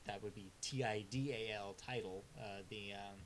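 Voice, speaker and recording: A man's voice: on the low side, fairly even intonation, moderate pace, a little hiss or hum. A man co-hosting a casual album review chat, laid-back and hesitant with lots of filler sounds, delivering blunt, dry verdicts. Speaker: music review co-host.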